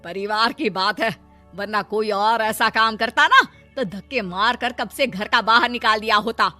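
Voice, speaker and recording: A woman voicing a haughty, greedy, scheming sister-in-law, sharp-tongued and theatrical, in a moral story. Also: on the high side, moderate pace, faint music behind. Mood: scornful. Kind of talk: acted moral-story dialogue.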